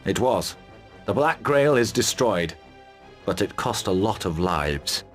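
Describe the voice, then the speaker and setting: A man's voice: lowish voice, expressive, takes it slowly, soft background music. A man playing a battle-hardened, fearless commander in a fantasy game, speaking tersely with dry resolve. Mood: resolute. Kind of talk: dramatic game cutscene acting.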